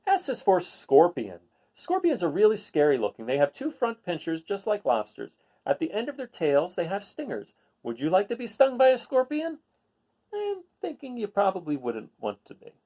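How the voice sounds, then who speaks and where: A man's voice: high-pitched, wildly swooping pitch, normal speed, warm tone, low-quality recording. A man reading a children's picture book aloud, adding casual asides and putting questions to his listeners. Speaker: read-aloud host.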